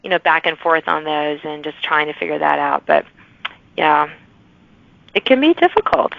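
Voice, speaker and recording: A woman's voice: deep voice, expressive, normal speed, creaky, no echo. Chatty woman podcast host, dull audio. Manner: chatty, rambling with many restarts. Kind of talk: casual interview chat.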